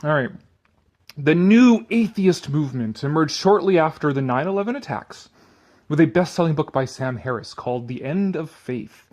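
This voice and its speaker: Sarcastic man with big theatrical pitch swings. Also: expressive, moderate pace, a little mellow. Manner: sarcastic, exasperated, freely swearing; theatrical, swinging between declaiming and offhand muttering. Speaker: commentator reading an article aloud. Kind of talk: dramatic reading with sarcastic asides.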